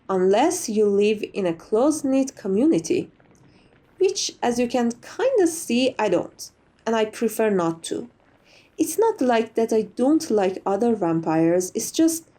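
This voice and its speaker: Chatty, gracious female vampire played by a woman for ASMR roleplay; higher-pitched, soft-edged mellow tone, genteel phrasing. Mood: wry, confiding. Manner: genteel, conversational, slightly formal phrasing. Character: sociable, chatty, gracious old female vampire being interviewed.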